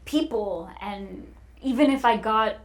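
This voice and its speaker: A woman's voice: a little high, slow pace. A woman speaking as a podcast guest, telling personal stories in a casual conversational way. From United States and United Kingdom.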